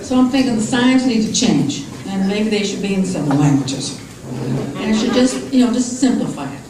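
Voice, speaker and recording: An older woman with a thick Boston accent works through a stand-up set in a deadpan, unhurried way, filling gaps with "uh." Her voice comes from far off in a very echoey club. Heavy crowd laughter and loud room noise sit behind her. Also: medium pitch. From Boston, USA. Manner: deadpan, unhurried, lots of uh fillers. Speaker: stand-up comedian. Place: large comedy club with live audience.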